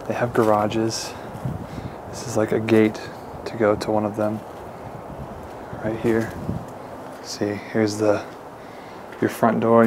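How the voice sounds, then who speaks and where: A man's voice: lowish voice, creaky. A young man hosting a casual walking tour vlog, chatting to viewers as he explores an abandoned mansion, recorded on a handheld camera. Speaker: urban explorer host. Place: outdoors and inside an abandoned mansion. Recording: noisy outdoor camera audio.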